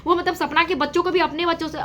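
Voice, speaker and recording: A woman with a very high, bright and sharp voice. She gives casual reaction commentary straight to her viewers, hammering points home through repetition. There is faint noise behind her. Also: little pitch movement, racing. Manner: repetitive, emphatic phrasing. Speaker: reaction video host. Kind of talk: casual gossip commentary to an audience.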